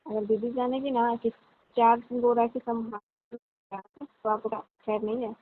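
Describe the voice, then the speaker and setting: High-voiced woman on a tinny phone line. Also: little pitch movement, slow pace, dry recording. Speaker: caller. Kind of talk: casual flirtatious phone chat. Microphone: phone call. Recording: thin narrow-band phone line.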